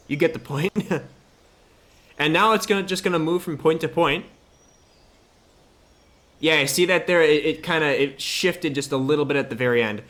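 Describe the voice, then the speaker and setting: A man with a medium-pitched voice talks through a hands-on tutorial in a casual, offhand way. He thinks aloud as he works, explaining each step informally, like someone narrating his own screen. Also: fairly bright tone, slight background noise. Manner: informal, loose and offhand, occasional swearing. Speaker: host of a game modding tutorial.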